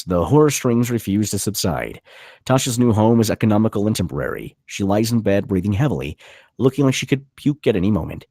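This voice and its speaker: A deep, husky man reads stage directions at a film table read. His scripted narration moves with very expressive pitch as he gives scene headings and action. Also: no echo, studio quality.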